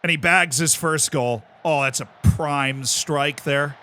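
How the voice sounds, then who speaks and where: An American man live-streaming a game, commenting excitedly, shouting at big moments and cracking jokes in between. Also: fairly high pitch, slow, a little hiss or hum. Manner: excitable, shouts at goals, jokey asides.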